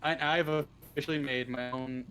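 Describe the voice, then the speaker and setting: A man's voice: mid-range voice, steady tone, moderate pace, fairly bright tone, clean tone. A man chatting casually as an interview guest, blunt and informal, swearing freely. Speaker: interview guest, script writer. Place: live online voice stream.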